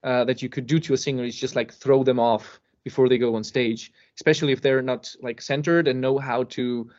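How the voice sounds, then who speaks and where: A man explaining things casually as a podcast guest, with emphasis and pauses to find his words. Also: medium pitch, fairly even intonation, a little mellow. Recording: dull lo-fi podcast audio.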